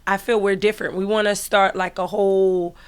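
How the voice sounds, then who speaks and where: A woman's voice: medium pitch, little pitch movement, clear, resonant tone. A woman guest on a radio show, a member of a music duo, speaking firmly and picking up her partner's thoughts. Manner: quick, firm, finishes her partner's sentences. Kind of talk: casual radio interview chat.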